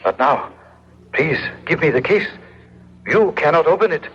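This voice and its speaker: An actor playing a solemn, faceless man from the far future in a radio drama, speaking in formal, stilted, precisely measured phrases. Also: fairly high pitch, lively intonation, a bit bright, slightly rough. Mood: stern, insistent. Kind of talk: old-time radio drama acting. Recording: muffled old radio recording, faint hiss.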